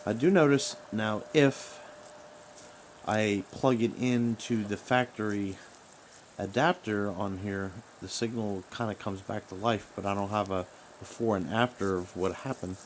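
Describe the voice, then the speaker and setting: Guy with a lowish voice kinda rambling thru a gadget demo at home, lots of pauses, noisy background. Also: steady tone. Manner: halting, rambling. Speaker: radio hobbyist. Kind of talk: casual product troubleshooting demonstration. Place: small room at home, indoors.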